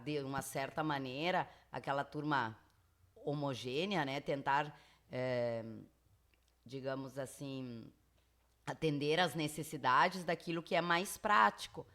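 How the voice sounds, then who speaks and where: Spanish-accented lady teacher, talks slow and careful with lots of "né". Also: sits low, fairly bright tone. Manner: measured, explanatory, many fillers. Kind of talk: school podcast interview.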